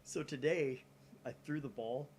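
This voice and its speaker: A man hosting a gaming channel with casual, self-deprecating banter and animated storytelling. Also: mid-range voice, measured pace, slight background noise. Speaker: host of a gaming channel reading a visual novel aloud. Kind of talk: casual banter and reading game dialogue aloud.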